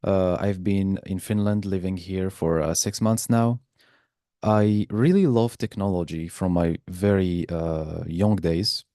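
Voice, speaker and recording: A man's voice: deep voice, unhurried, warm tone, no echo, clean studio sound. A man giving an informal presentation as guest speaker in an online class. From Algeria. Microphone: video call.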